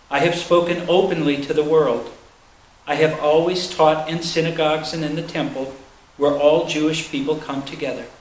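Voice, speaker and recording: A man reading scripture aloud in a solemn style at a church service. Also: a little high. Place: large indoor church space. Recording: echoey room with faint noise.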